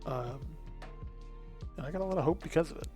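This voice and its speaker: A man chatting casually and thinking aloud on a gaming show, rambling in a halting way. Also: on the high side, mellow, soft background music. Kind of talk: casual chat.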